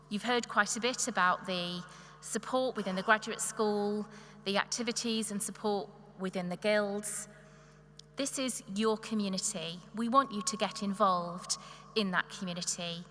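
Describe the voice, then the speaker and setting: A woman from the university staff giving a formal, encouraging welcome address in a large hall. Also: medium pitch. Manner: warm, encouraging, listing points. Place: large hall with long reverb. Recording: echoey hall, faint noise.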